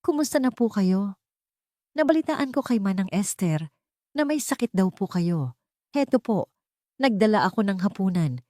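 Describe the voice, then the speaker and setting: A woman's voice: mid-range voice, animated, normal speed, long pauses, dark tone, no room sound, studio quality, close to an everyday voice. A woman voicing a cheerful, sincere young man, polite and caring, in an audio story. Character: kind, cheerful, sincere young rich son.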